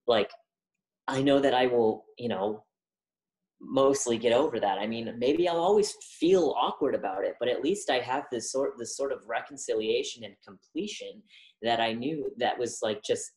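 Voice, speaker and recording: A young woman with a deep voice from testosterone, speaking as a guest in a reflective, halting interview conversation. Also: a little mellow, roomy sound, poor audio. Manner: halting, thoughtful, many fillers and restarts.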